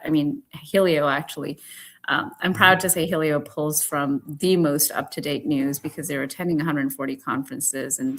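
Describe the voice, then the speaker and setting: A woman guest on a podcast, talking earnestly with frequent "you know" fillers. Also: low-pitched. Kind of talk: conversational podcast discussion.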